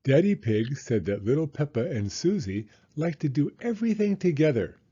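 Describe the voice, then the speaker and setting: A man reading a children's storybook aloud in an expressive style. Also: fairly high pitch, moderate pace, husky, dull audio. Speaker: storybook reader.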